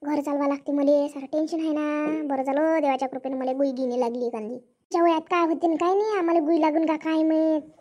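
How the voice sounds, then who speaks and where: A woman plays an older village woman who leads farm workers in a comic cartoon. Her voice is extremely high. She speaks Varhadi Marathi in a chatty, rustic, bossy way. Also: fairly even intonation, brisk, warm tone, highs cut off, close to an everyday voice. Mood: matter-of-fact.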